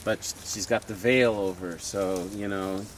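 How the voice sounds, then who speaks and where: A middle-aged man, a sculptor, chatting and telling a long anecdote in a relaxed interview. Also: low-pitched, normal speed, slight background noise. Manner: chatty anecdotal storyteller, laughs often. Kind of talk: casual storytelling interview.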